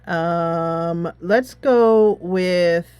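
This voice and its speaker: A woman's voice: lowish voice, very slow, a little mellow, clean tone, no room sound, faint music behind. A woman gaming host giving casual commentary, thinking aloud as she plays a tactics game.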